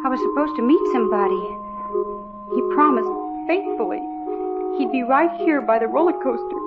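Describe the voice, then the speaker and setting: An actress playing a wholesome, earnest young American farm daughter in a 1950s radio musical. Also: female voice, sits high, animated, normal speed. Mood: let down. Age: young adult. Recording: dull vintage radio recording, loud music.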